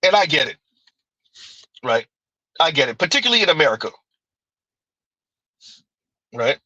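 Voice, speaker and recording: Bright-voiced man riffing on a live stream. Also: on the high side, sing-song, moderate pace, dry recording, muffled recording. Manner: conversational, repeats "right?", laughs often. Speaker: streamer reading viewer comments. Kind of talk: casual live-stream commentary.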